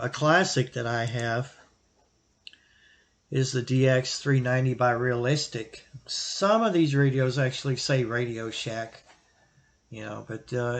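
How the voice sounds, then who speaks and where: Man casually explaining, plain-spoken and halting. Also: medium pitch. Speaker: radio hobbyist host answering viewer question. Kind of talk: casual explanatory product talk.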